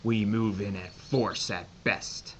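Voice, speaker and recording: An actor playing a brisk, authoritative American army major with an American accent in an audio drama. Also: male voice, on the low side, steady tone, a bit bright. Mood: firm. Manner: authoritative, terse. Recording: dull lo-fi recording with faint noise.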